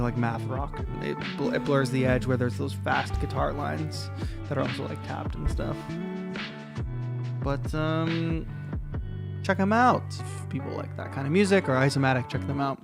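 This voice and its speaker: A man's voice: mid-range voice, steady tone, normal speed, vocal fry. A man hosting a livestream, giving casual, rambling feedback on listeners' beats. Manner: loose and chatty, many likes and restarts. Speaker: stream host. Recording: music loud behind voice. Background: music playing.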